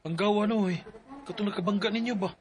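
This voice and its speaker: A man's voice: high voice, steady tone, quick pace, lightly acted. A man playing a deferential, obliging family relative in a radio drama, respectful and agreeable. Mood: concerned.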